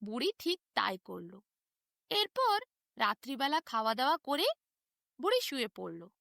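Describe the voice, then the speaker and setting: Bright, high-pitched woman storyteller. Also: big pitch swings, a little slow, creaky, no echo, studio quality. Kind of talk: animated children's fairy tale narration.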